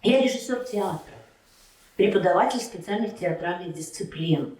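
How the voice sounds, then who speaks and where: A woman in a heated political debate, arguing with sharp, combative retorts. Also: lowish voice, lively intonation, normal speed, roomy sound, distant mic, rough recording. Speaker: debate candidate. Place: Kyiv.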